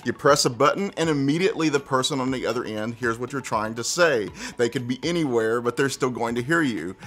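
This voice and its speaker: A man's voice: medium pitch, fairly quick, fairly bright tone. A man in his thirties presenting a how-to tutorial in a conversational, step-by-step way. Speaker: tech presenter. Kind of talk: casual how-to tutorial narration.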